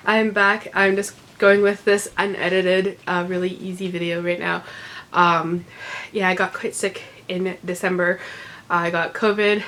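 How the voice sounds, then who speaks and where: A woman's voice: on the low side, a bit bright. Congested woman chatting about books. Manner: rambling, many ums, laughs often. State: congested, recovering from illness. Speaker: book vlogger. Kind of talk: casual unedited book chat.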